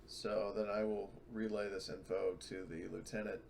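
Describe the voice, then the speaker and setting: A man's voice: fairly low pitch, level tone, moderate pace, vocal fry, a little mellow, slight background noise. A man playing a tabletop roleplaying game online, chatting casually and thinking aloud hesitantly.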